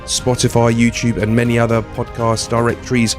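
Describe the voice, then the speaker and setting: Hoarse male podcast host with a cold. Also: medium pitch, completely flat delivery, a little fast, loud music behind the voice. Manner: hesitant, many ums and restarts. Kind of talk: casual podcast talk.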